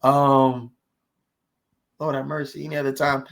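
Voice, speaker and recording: A man's voice: medium pitch, level tone, unhurried, creaky. A man speaking as an interview guest, telling stories conversationally with emphatic rhetorical questions and check-ins with the listener. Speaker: podcast guest, actor.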